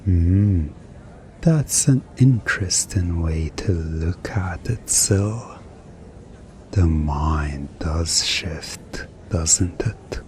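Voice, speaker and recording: A man performs as a soothing hypnotist in an ASMR roleplay. His low voice moves extremely slowly, coaxing and lulling with long hushed pauses. Faint noise sits behind him. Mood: musing, soothing. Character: soothing male stage hypnotist, calm and gently coaxing.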